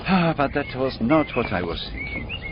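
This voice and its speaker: An actor playing a shrewd, methodical foreign detective in a radio drama, deliberate and courteous, musing aloud in rhetorical questions. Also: male voice, on the high side, wildly swooping pitch. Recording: dull radio recording, clearly noisy background.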